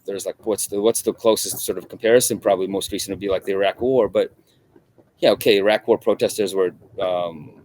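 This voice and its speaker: A middle-aged man from Russia, a guest in an interview, talking in a halting way with many restarts and repeated words. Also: deep voice, fairly even intonation, darkish tone, slight background noise, rough recording. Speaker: guest journalist. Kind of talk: conversational interview.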